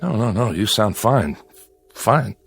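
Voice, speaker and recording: Prickly, lowish-voiced lonely exile. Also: male voice, very animated, slow, soft background music, exaggerated voice. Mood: wary. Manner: gruff, wry, guarded. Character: lonely, prickly, sardonic exiled man on an asteroid. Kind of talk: science fiction audio drama acting.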